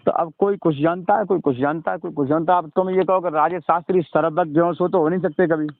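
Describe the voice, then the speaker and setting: Higher-voiced man lecturing over the phone. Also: fairly even intonation, quick pace, gravelly, dry recording. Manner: didactic, repeatedly seeking agreement. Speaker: religious storyteller. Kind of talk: informal phone conversation. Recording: narrow-band phone call recording.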